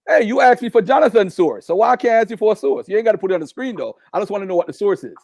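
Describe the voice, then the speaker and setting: A man's voice: sits high, expressive, racing, darkish tone, no echo, studio quality. A man with a Jamaican accent, debating a host live, insistent and repeating his requests. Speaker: debate guest.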